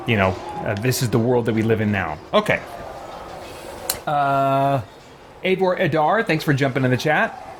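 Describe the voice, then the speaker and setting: A man's voice: mid-range voice, a little hiss or hum. A man giving an informal, rambling explanation to a live stream chat, digressing as he goes. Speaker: game livestreamer.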